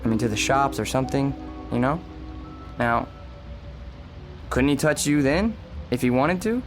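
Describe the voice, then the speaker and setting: A man's voice: mid-range voice, expressive, a little slow, soft background music, natural voice. An actor playing a policeman in a radio drama, hesitant, conversational and placating. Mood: questioning. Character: reassuring, injured policeman humouring an old woman. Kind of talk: radio drama acting, naturalistic.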